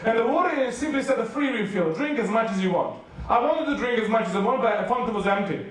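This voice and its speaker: Serbian-accented preacher in an echoey hall. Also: male voice, extremely high pitch, fast talker, far from the mic. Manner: animated comic storytelling, acts out dialogue. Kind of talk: humorous sermon storytelling. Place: large hall with a youth audience.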